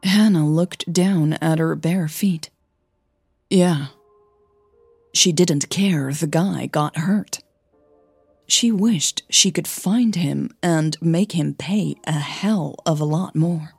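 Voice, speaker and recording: Female narrator for audio fiction. Low, husky voice, measured and dramatic delivery. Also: darkish tone, dry recording, faint music behind.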